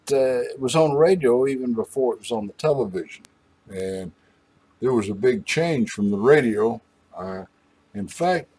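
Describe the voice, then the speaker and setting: A man hosting a hobby channel, talking casually to his viewers in a folksy, unhurried and halting way. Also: on the low side, a little mellow. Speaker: host of a hobby video channel. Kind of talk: casual rambling talk to viewers.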